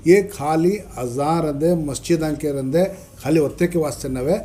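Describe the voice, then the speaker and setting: Higher-voiced mellow man, South Indian Dakhni accent. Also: normal speed. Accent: South Indian Dakhni Urdu. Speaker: party minority-wing official, spokesman. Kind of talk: press statement. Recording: noisy press meet recording.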